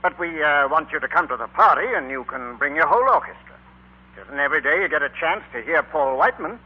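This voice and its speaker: A man's voice: on the high side, expressive, fast, dull audio. An actor playing a polite, genial, well-to-do middle-aged father in a 1940s radio play. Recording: band-limited 1940s radio recording.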